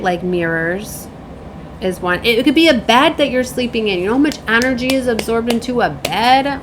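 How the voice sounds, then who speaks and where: A woman with a fairly high voice speaks informally to her viewers in the role of a spiritual reader. She rambles in an effusive way and keeps tagging her thoughts with little checks for agreement. Some light noise sits behind her voice. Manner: effusive, rambling, often seeking agreement with tag questions. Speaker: spiritual reader and self-described shaman.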